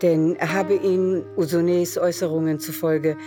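Female narrator for literary audio drama, low-to-middle register, scripted storytelling, music underneath. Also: level tone, normal speed. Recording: music behind the voice.

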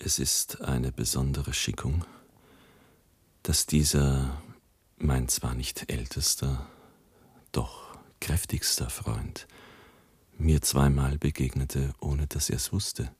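An actor playing a grave man who recounts his friend's end in measured, solemn radio play narration. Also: a bass voice, steady tone, darkish tone, slightly rough, no room sound, exaggerated voice.

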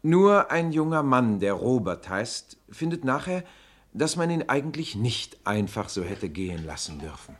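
A composed radio play narrator with a medium-pitched voice. He is earnest and deliberate, formally addressing and placating his listeners. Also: very animated. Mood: measured, insistent.

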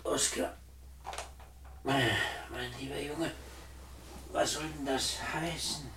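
An actor playing a suave, menacing criminal with mocking politeness and a gravelly voice in a 1960 German radio play. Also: male voice, medium pitch, steady tone, slow pace, a bit bright. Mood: dying, breathless. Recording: noisy old radio recording, clicks.

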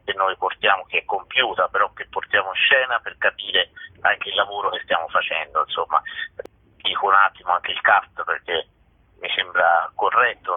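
Male director interviewee, low husky voice, close dry sound through a narrow phone band. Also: dry recording. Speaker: theatre director being interviewed. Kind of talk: informal radio interview answers.

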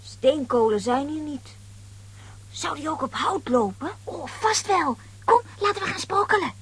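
A woman playing a plucky, excitable little girl adventurer in a children's audio play. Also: sits high, measured pace. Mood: eager, bossy.

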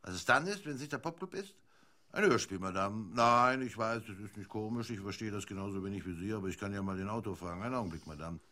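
A man's voice: fairly low pitch, quick pace, pushed and bright. A man playing a put-upon, easily flustered radio announcer in a German radio play, fussily repeating himself. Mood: distracted.

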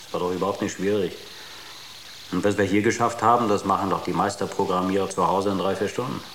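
Low-voiced, cheeky spaceship crewman, roomy sound. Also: steady tone, quick pace, a bit bright. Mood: businesslike, casual. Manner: informal, teasing, chatty. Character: breezy, girl-crazy male spaceship programmer, cheeky joker. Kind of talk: German radio drama acting. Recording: roomy, noisy radio drama sound.

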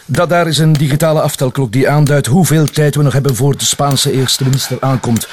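An actor with a Flemish accent playing a brisk, businesslike police officer in a radio drama. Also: male voice, mid-range voice, a little hiss or hum, natural voice. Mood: brisk, dutiful.

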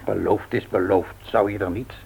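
Husky grumbling old grandfather. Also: lowish voice, steady tone, normal speed, darkish tone, heavily acted. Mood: teasing. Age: older. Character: grumbling, teasing, drowsy old husband and grandfather. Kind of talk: old radio play acting. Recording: dull old radio recording.

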